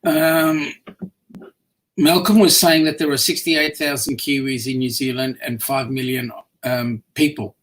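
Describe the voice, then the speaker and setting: Bantering host with an Austro-African accent. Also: male voice, medium pitch, low-quality recording. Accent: South African and Australian mix. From part South African. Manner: relaxed, bantering, often pauses with fillers. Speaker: host reading viewer comments. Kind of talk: casual live-stream chat.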